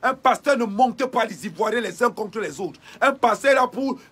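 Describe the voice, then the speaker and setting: A man with a high, husky voice delivers a fiery denunciation. He hammers the same phrases over and over in a preaching rhythm, breaking into shouts. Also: animated, moderate pace. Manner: repetitive preacher-like cadence with shouted bursts. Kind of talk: impassioned denunciation.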